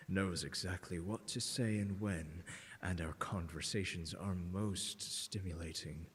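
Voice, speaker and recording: A man playing a haughty, condescending, seductive scholar in an ASMR audio roleplay, speaking in a pompous, teasing drawl with deliberate emphasis. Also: sits low, husky, full character voice. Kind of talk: ASMR roleplay, scripted lecture to the listener. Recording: long hall-like reverb.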